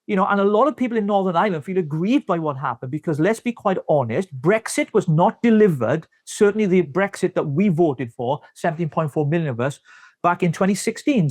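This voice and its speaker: A man's voice: high voice, a little mellow, dry recording, clean studio sound. A man with a Welsh accent, speaking emphatically as a party representative in a political interview. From Wales.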